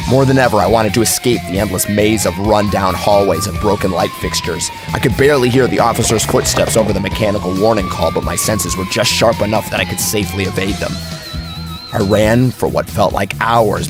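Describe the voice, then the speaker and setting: A man narrating a first-person horror story in a theatrical, suspenseful way. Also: on the low side.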